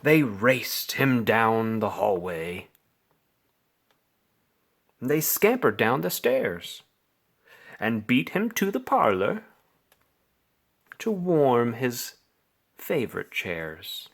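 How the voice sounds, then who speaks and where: A man reading a children's bedtime story aloud, with expressive, theatrical phrasing and drawn-out words. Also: mid-range voice, gravelly. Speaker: storybook reader.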